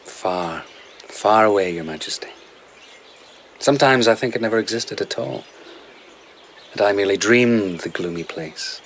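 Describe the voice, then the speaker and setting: A man's voice: fairly low pitch, normal speed, pauses often, slight background noise, lightly acted. A man in a radio drama playing a dreamy, hard-drinking vagabond who tells lyrical, rambling tales as a royal favourite. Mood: wistful, teasing.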